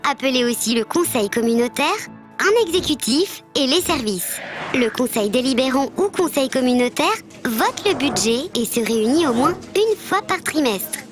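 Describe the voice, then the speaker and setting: High-pitched bird mascot teacher voiced by a woman. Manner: didactic, clearly structured explaining. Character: friendly tec-tec bird mascot teaching civics. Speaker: presenter of an educational programme. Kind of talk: scripted educational narration.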